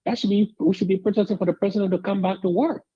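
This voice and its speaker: Man calling in, dark husky voice. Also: high voice, fairly even intonation, fast, no room sound, muffled recording. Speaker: caller. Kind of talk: call-in radio commentary.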